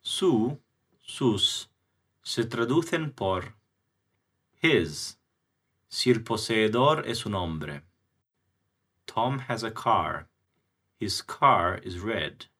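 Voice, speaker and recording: Very slow, low-voiced male language teacher. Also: animated, fairly bright tone. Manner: very slow, deliberate, clearly enunciated teaching delivery. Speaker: English teacher. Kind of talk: language lesson explanation.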